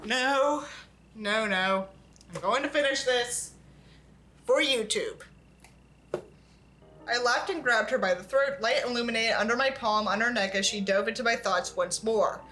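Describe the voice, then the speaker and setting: A woman's voice: fairly high pitch, moderate pace, a bit bright, faint music behind. A woman reading a novel aloud in a theatrical, sarcastic way, as a reviewer mocking it. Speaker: YouTube book reviewer.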